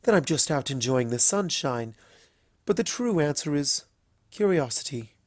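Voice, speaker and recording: A man voicing a smugly affectionate elder pony princess in a story reading, with a playful, drawn-out, knowing delivery. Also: fairly high pitch, lively intonation, no echo, clean studio sound, lightly acted. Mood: playful, teasing. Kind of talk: fan fiction story reading.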